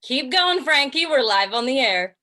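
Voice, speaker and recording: A woman's voice: high voice, animated, normal speed, pushed and bright. A woman playing a fussy, earnest co-announcer in 1950s radio ad style. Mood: fussy, correcting. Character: fussy critic co-announcer pitching cereal earnestly.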